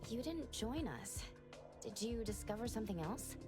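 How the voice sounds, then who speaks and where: A woman's voice: on the high side, lively intonation, normal speed, music in the background, lightly acted. A woman playing a young, observant female crew member in a video game, polite and inquisitive. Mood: curious.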